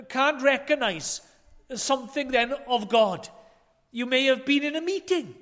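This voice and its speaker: A man preaching a chapel sermon, earnest, with rhetorical questions and swells and drops in loudness. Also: very high-pitched. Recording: long hall reverb.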